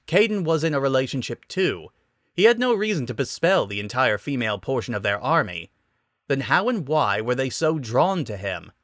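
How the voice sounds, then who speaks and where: A man with a mid-pitched voice narrates a story dramatically. His delivery is expressive and wry, clearly scripted, and the sound is very dry with no room around it. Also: no echo.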